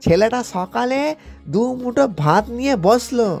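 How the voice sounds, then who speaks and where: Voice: medium pitch, lively intonation, moderate pace, natural voice. An actor voicing a weary, mild-tempered, henpecked uncle in a Bengali cartoon. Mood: weary, resigned. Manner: resigned, gently reproachful. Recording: music behind the voice.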